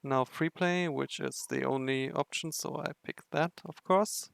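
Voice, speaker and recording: Slow, creaky-voiced man doing game commentary. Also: medium pitch, a bit bright, dry recording, clean studio sound. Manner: hesitant, halting, thinking aloud. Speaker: game video narrator and player. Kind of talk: casual game playthrough commentary.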